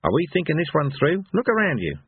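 Guy doing an older lady warrior, calm sensible reasoning, high soft-spoken, a bit husky. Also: no echo, phone-quality sound, full character voice. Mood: thoughtful, cautioning. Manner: measured, reasoning. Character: quiet, shrewd older woman among barbarian warriors.

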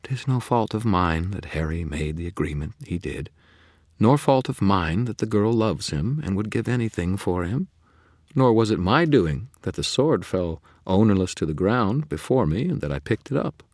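Brief: sly purring fairy woman, a man voicing her high and breathy, dry close sound. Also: animated, normal speed, darkish tone, no echo. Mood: mocking. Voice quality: purring, teasing, whispery at times. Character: sly seductive female fairy, bargaining and purring. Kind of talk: audiobook character voice.

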